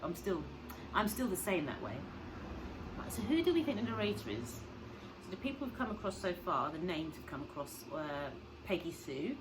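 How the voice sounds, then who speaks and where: A woman with a low voice reads a children's story aloud like a teacher. She is expressive and puts simple questions and explanations to young listeners. There is clear noise on the recording. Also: normal speed. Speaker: teacher reading to pupils.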